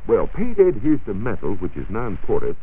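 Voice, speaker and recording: Deep-voiced 1940s radio science explainer, American man. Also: wildly swooping pitch, husky. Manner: measured, explanatory, precise. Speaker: science reporter answering listener questions. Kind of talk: 1940s radio science talk, partly scripted. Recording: muffled, narrow-band 1940s radio recording.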